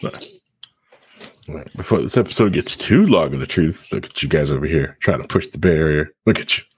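A man with a husky voice hosting a casual podcast, bantering in a loose, jokey way and repeating words for emphasis. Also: a bass voice, animated, brisk. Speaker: podcast host.

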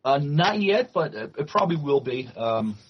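A man co-hosting a podcast, reading news and chatting, with halting delivery when reading aloud. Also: on the high side, sing-song, slightly rough. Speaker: podcast co-host. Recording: muffled, dull lo-fi audio.

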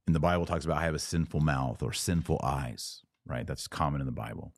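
A man's voice: a bass voice, a little fast, no echo. An American man hosting a religious podcast, talking informally to online viewers in an emphatic way with repeated phrases and rhetorical questions.

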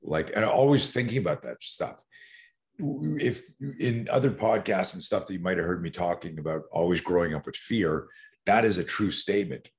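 A man's voice: low voice, animated, normal speed. A man in his sixties from Canada, a podcast guest telling rambling stories with many restarts. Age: 60s. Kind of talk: casual podcast interview.

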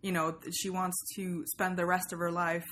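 Chatty, lower-pitched woman in conversation. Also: flat delivery, normal speed, a bit bright, a little hiss or hum. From Sweden. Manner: chatty, self-deprecating, joking, dramatizes quoted remarks. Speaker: podcast host being interviewed. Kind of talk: casual, animated conversation.

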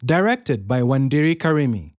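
A woman's voice: extremely low pitch, very animated, normal speed, darkish tone, dry recording, clean studio sound. A woman reading scripted credits as an announcer.

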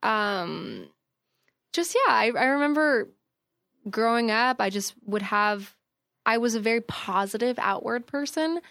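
A woman's voice: fairly high pitch, expressive, measured pace, vocal fry, fairly bright tone, dry recording, clean studio sound. A young woman talking casually as a podcast guest, chatty, with lots of fillers and laughs. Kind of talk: casual conversation.

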